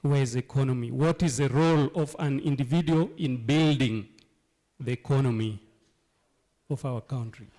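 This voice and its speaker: Hoarse man giving an emphatic town hall speech. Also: medium pitch, normal speed, warm tone. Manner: emphatic, rhetorical questions, repeats key phrases. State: hoarse from flu and cough. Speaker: local leader addressing a town hall. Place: meeting hall.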